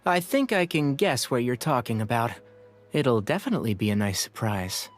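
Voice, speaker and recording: Friendly young man, very low female register, lively intonation, gently teasing, music behind. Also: normal speed, dry recording. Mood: relaxed, teasing. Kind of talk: video game voice acting. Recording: music under the dialogue.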